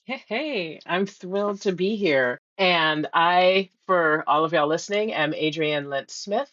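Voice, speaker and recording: A woman's voice: on the low side, unhurried, fairly bright tone, no echo, highs cut off. A middle-aged woman, a history professor, interviewing in a thoughtful, rambling way with many ums. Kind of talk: conversational interview.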